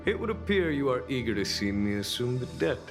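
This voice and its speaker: An actor playing a composed, wry general in a fantasy video game, speaking in a measured, formal and gently amused way. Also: male voice, mid-range voice, sing-song. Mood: dry, musing. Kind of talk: video game dramatic acting. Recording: game dialogue over music.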